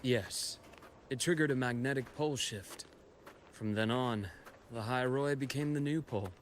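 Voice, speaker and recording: An actor playing a composed, knowledgeable expedition guide and scholar in a video game, telling history in a measured, reflective way. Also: male voice, medium pitch, slight background noise, natural voice. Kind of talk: scripted video game story dialogue.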